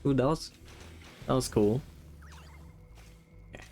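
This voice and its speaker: A man's voice: medium pitch, slow, darkish tone. A man doing casual live gameplay commentary as a streamer, muttering and thinking aloud into a close mic. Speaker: game streamer. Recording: faint game music behind.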